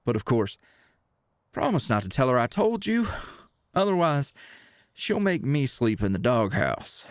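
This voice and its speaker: A man acts a charming small-town handyman with an American Southern accent. He speaks very slowly, with a swinging, animated pitch. The sound is dry and close, and his manner is folksy and easygoing, as in an ASMR roleplay. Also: smooth, dry recording. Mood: playfully confiding.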